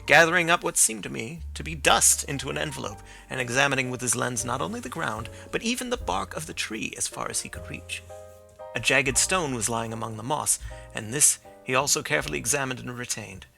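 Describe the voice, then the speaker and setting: A male audiobook narrator telling a classic story with steady, measured phrasing. His voice is bright and forceful, with a gravelly rasp and lively, animated pitch movement. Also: faint music behind.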